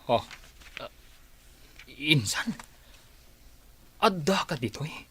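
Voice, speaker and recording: Man acting a husky, shifty office schemer, Ilocano accent. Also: fairly high pitch, animated, extremely slow, a bit bright, dry recording, full character voice. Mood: flustered, evasive. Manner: flustered, evasive. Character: shifty male office schemer, cornered and whining. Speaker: radio drama actor. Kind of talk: Ilocano comedy-drama dialogue.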